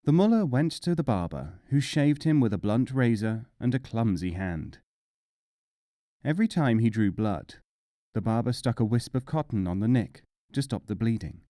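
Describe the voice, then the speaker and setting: A man reads humorous folk tales for an audiobook in a measured, expressive way. His voice is mellow and moves freely in pitch, recorded very dry and close. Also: no echo. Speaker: audiobook narrator. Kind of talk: scripted storybook narration of humorous folk tales.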